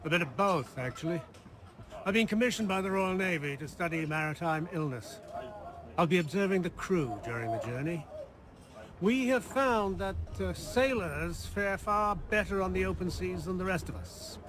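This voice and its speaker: Polite ship's doctor, earnest researcher, game cutscene, high-pitched, clear crowd bustle. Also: male voice, expressive, normal speed. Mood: genial, earnest. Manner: polite, scholarly. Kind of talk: video game cutscene acting.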